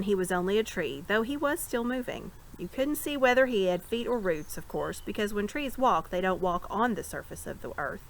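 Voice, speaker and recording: A woman reading a children's fantasy novel aloud as an expressive storyteller. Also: medium pitch, poor audio. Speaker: storybook reader. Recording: clear background noise.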